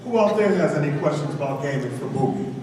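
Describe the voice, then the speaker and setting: A man's voice: fairly high pitch, expressive, quick pace, a little mellow, a little hiss or hum. A man moderating a convention panel with short, upbeat prompts to the crowd. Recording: echoey convention hall from far off.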